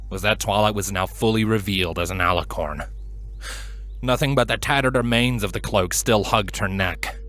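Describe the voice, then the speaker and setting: A man narrating a scripted story in an expressive, dramatic manner. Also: fairly low pitch. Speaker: fan fiction reader, narrator.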